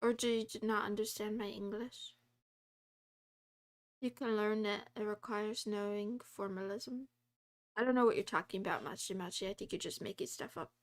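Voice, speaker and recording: A woman's voice: mid-range voice, steady tone, normal speed. A woman live-streaming while she codes, chatting casually and rambling with lots of fillers, talking to her viewers and laughing often.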